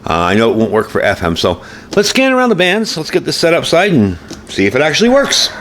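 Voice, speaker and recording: A man's voice: on the high side, wildly swooping pitch, fast talker, fairly bright tone, slight background noise. A middle-aged American man giving a casual, informal product review, explaining things as he goes. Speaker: gear review host. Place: indoor workbench, later outdoors by the house.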